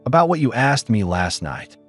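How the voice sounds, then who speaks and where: Storybook loner, grown man, clipped and guarded, lively pitch movement, read by the narrator. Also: moderate pace, faint music behind. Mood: guarded, plain. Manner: terse, plain and quiet. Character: reserved solitary male engineer, guarded.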